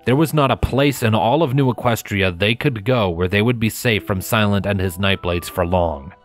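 A man narrating a scripted story in a measured, expressive storytelling manner, close to the microphone. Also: lowish voice, faint music behind.

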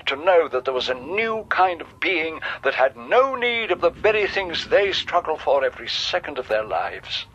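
An actor playing a stern, righteous cardinal in a radio drama, solemn and declamatory. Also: male voice, on the high side, big pitch swings, moderate pace, hardly any pauses, fairly bright tone, lo-fi sound. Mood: solemn, preaching. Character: stern, dogmatic male cardinal, righteous and unbending. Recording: band-limited radio sound with background noise.

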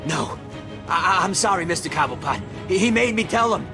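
An actor playing a frightened thug who pleads and stammers for mercy. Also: male voice, high-pitched, lively intonation, fairly quick, gravelly, loud music behind the voice. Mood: pleading. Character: terrified male thug begging for mercy.

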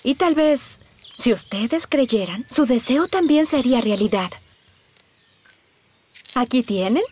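High, animated, dreamy cartoon mum. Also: female voice, takes it slowly, husky, heavily acted. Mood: dreamy, kindly. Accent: Latin American Spanish. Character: gentle, dreamy New Age mum, superstitious and kindly. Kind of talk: children's cartoon dubbing.

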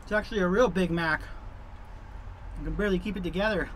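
A man's voice: high-pitched, fast, a bit bright, a little hiss or hum, low-quality recording. A middle-aged man chatting casually to camera as a vlog host, in an informal, easygoing, rambling way, from the back of a truck camper.

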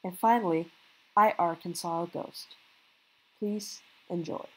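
A woman introducing the evening's radio plays in an upbeat, slightly halting way. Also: on the low side, a little mellow, breathy edge, rough recording. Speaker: show curator introducing the plays. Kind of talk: casual introduction.

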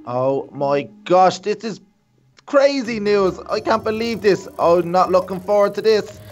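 A performer playing a cocky, excitable young sibling in a children's game roleplay, in a dramatic, repetitive way. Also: mid-range voice, measured pace, lightly acted. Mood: reluctant, whiny. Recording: dull lo-fi voice, music behind.

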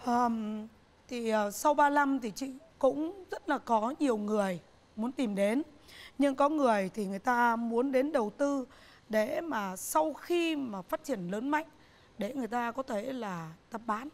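Earnest middle-aged woman, studio interview. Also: on the high side. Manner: earnest, repeats phrases, halting restarts. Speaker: business owner, guest. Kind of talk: TV talk show interview. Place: TV studio set, indoors.

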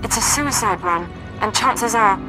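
A woman's voice: a little high, a little slow, lightly acted, rough recording. Woman acting a focused intelligence officer, music behind. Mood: urgent, earnest. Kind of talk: dramatic video game voice acting.

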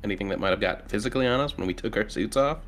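A man's voice: lowish voice, very fast, fairly bright tone. A man playing a tabletop roleplaying game, talking casually as he questions and discusses the plan. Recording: faint background noise.

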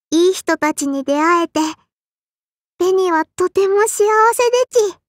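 A woman's voice: very high-pitched, fairly bright tone, no echo, clean studio sound. A woman voicing a small, strict but kindly and dutiful sparrow girl for an anime game, in a childlike lisping voice with formal, cutesy phrasing. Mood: tender, grateful. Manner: formal yet cutesy, chirping verbal tics. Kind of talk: Japanese anime game character lines.

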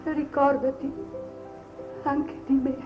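A frail, resigned old mother in a 1950s Italian TV drama. Her voice is rather high, tender and solemn. The recording is dull, with faint music behind it. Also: little pitch movement, slow pace. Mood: tender, serene.

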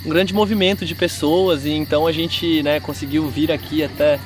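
A young man, cofounder of the project, talking relaxed and halting in a documentary interview outdoors by a sports field. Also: fairly high pitch, soft background music. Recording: distant mic with outdoor noise.

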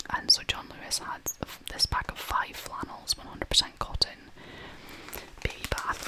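Whispering young woman, ASMR style. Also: rough recording. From half Scottish, half American. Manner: unhurried, informal, chatty. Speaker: vlogger showing purchases. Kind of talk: whispered ASMR shopping haul.

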